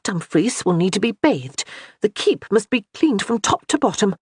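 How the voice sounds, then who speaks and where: A woman voicing a flustered young noble wife, rattled and bossy, in a husky voice. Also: sing-song, normal speed, no echo, clean studio sound. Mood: agitated. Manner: rattled, bossy, high-strung.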